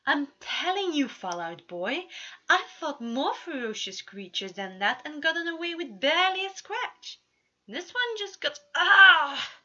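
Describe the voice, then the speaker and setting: A proud, flustered storybook prince, acted out by a woman, speaks in a raised, bright voice. He is dramatic and defiant, pushing his words out loudly. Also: high-pitched, sing-song, a little slow, lo-fi sound, pitched above the performer's own voice, heavily acted. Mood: boastful, defiant. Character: proud, theatrical, flustered male prince adventurer.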